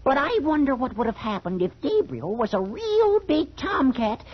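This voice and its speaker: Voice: high-pitched, big pitch swings, normal speed, slightly rough, exaggerated voice. An actor playing a cheeky, scrappy little boy rag doll in a 1940s children's radio show. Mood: excited. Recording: dull old 1940s radio recording.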